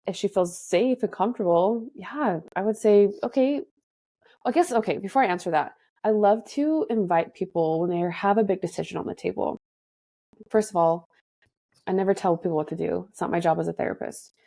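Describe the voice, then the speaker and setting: A woman's voice: mid-range voice, a little fast, dark tone, no room sound. A woman in her thirties, a therapist guest on a podcast, speaking in a thoughtful, reflective way. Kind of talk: casual podcast conversation.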